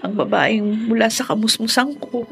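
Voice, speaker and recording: A woman's voice: on the high side, normal speed, gravelly, fairly bright tone, slightly rough, heavily acted. An actress playing a tender, emotional young woman, a loving granddaughter, in a Filipino radio drama. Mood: grieving, comforting. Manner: emotional, earnest, respectful.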